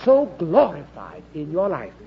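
A man's voice: on the high side, wildly swooping pitch, measured pace, creaky. A man with a British accent preaching an evangelical sermon with impassioned delivery, repeating phrases for emphasis and putting rhetorical questions to his listeners. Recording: dull, lo-fi sermon recording.

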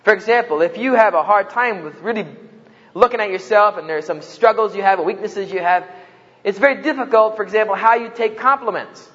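A man with a New Jersey accent speaks to a campus audience in a fairly high voice, telling jokes and stories. The sound is dull and carries a long echo from the hall around him. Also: fairly quick, a bit bright. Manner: joking storyteller, acts out quoted voices. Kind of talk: humorous public talk.

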